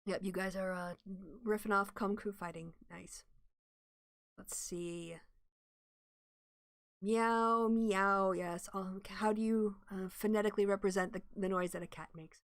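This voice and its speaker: A woman's voice: mid-range voice, steady tone, measured pace, dry recording, studio quality. A woman hosting a casual livestream, talking through ideas with restarts and answering viewer comments. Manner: thinks aloud with restarts, explanatory and opinionated. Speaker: livestream host.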